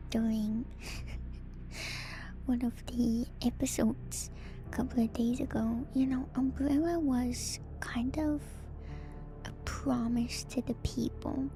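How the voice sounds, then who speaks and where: Female ASMR streamer, breathy whisper at an unhurried pace, lore reading mixed with chatty asides, music underneath. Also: fairly high pitch, low-quality recording. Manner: hushed, slow, enthusiastic asides.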